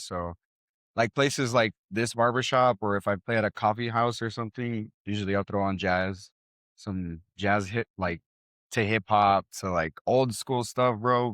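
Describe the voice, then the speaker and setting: A young man from South Texas, a DJ guest in a barbershop, talking in a relaxed, rambling way full of "like" and "bro". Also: fairly low pitch, no echo, clean studio sound. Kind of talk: casual chat.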